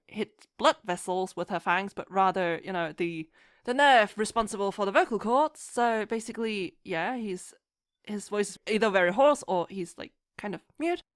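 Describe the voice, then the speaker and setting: A woman's voice: medium pitch, smooth, no echo, clean studio sound. A woman chatting casually as an interview guest, rambling enthusiastically and acting out her own thoughts as she goes. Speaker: podcast guest, fan fiction writer.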